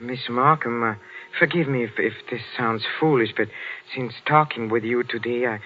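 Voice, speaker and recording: An actor playing a meek, henpecked middle-aged botany professor, hesitant and mannered, in old-time radio drama style. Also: male voice, medium pitch, normal speed, natural voice. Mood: shy, flustered. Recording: dull vintage radio drama recording.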